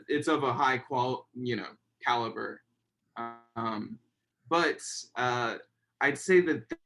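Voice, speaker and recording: A young man in a relaxed interview, his talk full of pauses and fillers. His voice sits a little high for a man and wanders through restarts as he thinks aloud. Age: young adult. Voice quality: fairly high voice. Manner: hesitant, many fillers and restarts. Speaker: guest. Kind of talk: casual interview chat.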